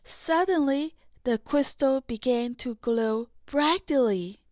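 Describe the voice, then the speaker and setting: A woman's voice: high voice, slow pace, no echo, clean studio sound. A woman narrating a children's story in a scripted read-along style. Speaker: audiobook narrator.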